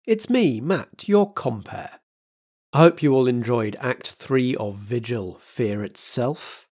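A man hosting as a flamboyant theatrical compere. He reads announcements in a camp, luvvie style. His voice sits at an ordinary middle pitch. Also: lively intonation, slow, a little mellow, slightly rough. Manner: camp, luvvie stage host. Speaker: podcast compere.

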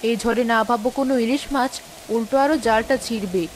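A woman's voice: on the high side, fast, natural voice. A woman acting a worried, cautious village wife and mother in a cartoon drama, anxious and scolding. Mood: anxious nagging. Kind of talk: dramatic Bengali animated story acting. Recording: rainstorm noise behind voice.

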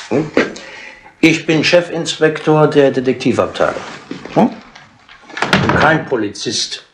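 An actor playing a shrewd, patronising older London chief inspector in a wry, colloquial way, in 1960s radio drama style. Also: male voice, mid-range voice, lightly acted. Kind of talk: 1960s German radio crime drama acting. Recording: noisy 1960s radio tape with clicks.

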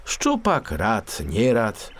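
A man narrating a scripted children's fairy tale audiobook in an expressive, theatrical storytelling manner. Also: lowish voice, drawn-out words.

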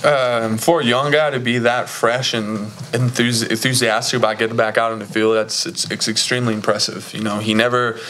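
A man in his early twenties, a college football player giving earnest, measured answers at a press conference. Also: mid-range voice, flat delivery, fairly bright tone, a little hiss or hum. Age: early 20s. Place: indoor press conference room. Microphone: tabletop microphone.

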